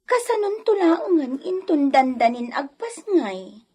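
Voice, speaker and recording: An actress playing a worried, loyal household servant in a radio drama, anxious and deferential, with a Filipino accent. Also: female voice, sits high, big pitch swings, measured pace, mellow. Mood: anxious reporting. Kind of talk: Ilocano radio drama acting.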